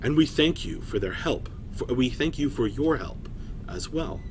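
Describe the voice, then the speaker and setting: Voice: medium pitch, animated, normal speed, noisy background, lightly acted. A man playing a proud, solemn female clay golem in a fantasy story, with grave, formal speech.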